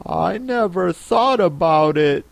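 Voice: medium pitch, lively intonation, slow pace, vocal fry, no room sound, voice pushed up. A reader voicing a timid, polite and thoughtful young girl in a storybook, hesitant and full of wonder. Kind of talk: storybook character reading.

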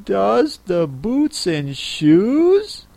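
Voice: medium pitch, extremely slow, vocal fry, no echo, voice pushed up, exaggerated voice. A reader voicing a timid, polite and thoughtful young girl in a storybook, hesitant and full of wonder. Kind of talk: storybook character reading.

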